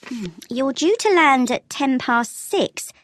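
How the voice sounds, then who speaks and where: A woman playing a helpful, matter-of-fact travel agent in a scripted language-test dialogue, explaining things clearly. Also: high-pitched, animated, takes it slowly, fairly bright tone, full character voice. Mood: helpful, thoughtful.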